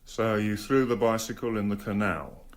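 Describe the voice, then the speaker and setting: A man acting a dogged, sarcastic English police inspector in a radio drama, questioning with measured, mock-polite pressure. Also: on the low side, natural voice. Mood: probing, sardonic.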